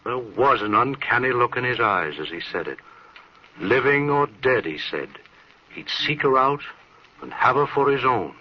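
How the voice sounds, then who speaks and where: An actor playing a calm, fatherly clergyman in an old-time radio drama, speaking in a measured, solemn way. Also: mid-range voice, natural voice. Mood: grave. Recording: muffled, hissy old radio recording.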